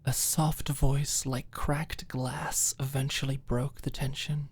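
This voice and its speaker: A woman narrating a scripted story as a soft ASMR-style audiobook. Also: very deep voice, little pitch movement, slow, slightly rough.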